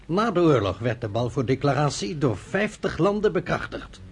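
An actor playing a young, energetic, pragmatic leader, reasoning persuasively in a Dutch radio play. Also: male voice, fairly high pitch, normal speed, a little hiss or hum. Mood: reasoned, persuasive.

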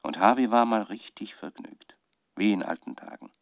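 A man's voice: fairly low pitch, gravelly. An actor playing a male scientist who keeps a troubled, fervent spoken research diary in a radio drama, often searching for words. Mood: brooding, musing. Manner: halting, searching for words, dramatic shifts from musing to fervour. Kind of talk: radio drama monologue as dictated audio diary.

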